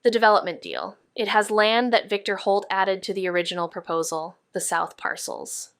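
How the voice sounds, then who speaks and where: A woman playing a serious, analytical investigator in an audio drama, speaking in a measured, precise way. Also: mid-range voice, animated, a bit bright, clean tone, no room sound, clean studio sound.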